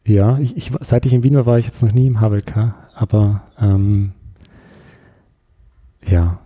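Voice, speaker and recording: A man's voice: on the low side, fairly even intonation, a little slow, warm tone, dull audio. A man with an Austrian accent chatting casually as an interview guest, thinking aloud and often searching for his words. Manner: hesitant, reflective, searching for words.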